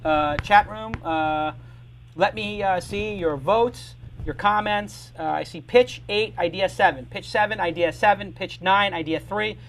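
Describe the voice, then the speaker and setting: A middle-aged man hosting a live startup show, joking and talking fast in bursts. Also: high voice, fairly bright tone, slight background noise, rough recording. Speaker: podcast host.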